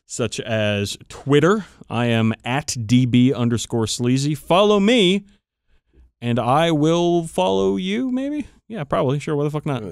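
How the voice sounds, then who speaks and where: Clear-voiced man co-hosting a podcast. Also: on the high side, lively intonation, a little slow, no room sound, studio quality. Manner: profane, teasing. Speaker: podcast co-host. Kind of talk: casual, bantering podcast chat.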